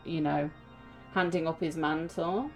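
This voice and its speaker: Low-voiced woman giving game reactions. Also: fairly even intonation, unhurried, faint music behind. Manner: reflective, sympathetic, conversational. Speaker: streamer reacting to a video game. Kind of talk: casual reaction commentary.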